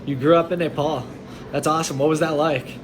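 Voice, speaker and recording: A man's voice: on the high side, fairly even intonation, fairly quick, background noise. A man interviewing a restaurant owner in a casual, upbeat and friendly way. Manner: upbeat and friendly, often saying "that's awesome". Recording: clear crowd chatter behind.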